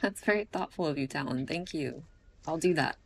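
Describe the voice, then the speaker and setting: An eager young designer in an audio drama. Her voice is low for a woman, and her manner is polite and agreeable. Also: expressive, a little fast, slight background noise. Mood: polite, keen.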